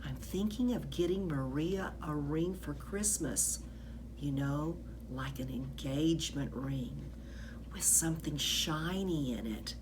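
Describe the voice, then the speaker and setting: Brief: woman plays friendly middle-aged janitor in a kids' storybook, earnest, excitable, slow-paced, faint noise. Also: low voice. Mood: earnest, hopeful. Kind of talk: children's storybook character voice.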